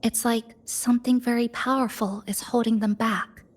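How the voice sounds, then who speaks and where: An actress playing a composed young woman in a video game, speaking in a measured, reflective way. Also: fairly high pitch, flat delivery, natural voice. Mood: serious, reflective. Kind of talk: video game cutscene voice acting.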